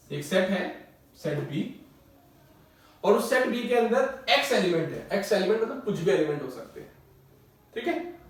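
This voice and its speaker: A man teaching a maths lesson, explaining patiently with everyday examples and repeating the key words. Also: high voice. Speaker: maths tutor. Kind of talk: classroom lecture. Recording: echoey room, mic far off.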